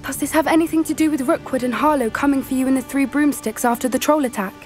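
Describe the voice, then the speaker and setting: An earnest British schoolgirl witch who cares for creatures, played by a young woman in a fantasy game. Her voice is fairly high and confiding. Soft music plays faintly behind her. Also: fast, close to an everyday voice. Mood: earnest, concerned. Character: earnest, caring teenage schoolgirl witch, animal protector. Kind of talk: video game cutscene acting.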